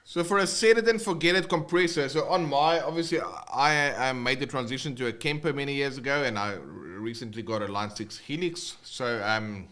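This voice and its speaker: A man's voice: mid-range voice, moderate pace, hardly any pauses, a bit bright. A man with a South African accent, teaching guitar in a casual, rambling livestream with many fillers and restarts.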